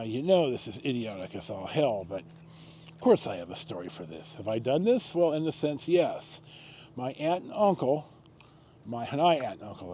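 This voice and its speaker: An American man hosting a storytime, telling personal stories in a casual, rambling, halting way, reminiscing and correcting himself as he goes. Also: fairly low pitch, dark tone, slight background noise. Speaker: host of a personal storytime show.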